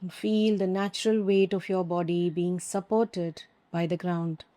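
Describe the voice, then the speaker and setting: Slow, mellow-voiced woman guiding meditation. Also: lowish voice. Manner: slow, calm, soothing instructions with long pauses. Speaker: yoga nidra teacher. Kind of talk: guided meditation.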